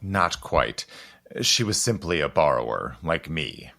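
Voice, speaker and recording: A deep-voiced, guarded gentleman, acted by a man for an audio drama. He is stern and protective, swinging widely in pitch and pausing for dramatic weight. He sounds intense and urgent, like someone who keeps dangerous secrets. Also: sing-song, vocal fry, full character voice. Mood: guarded, grave.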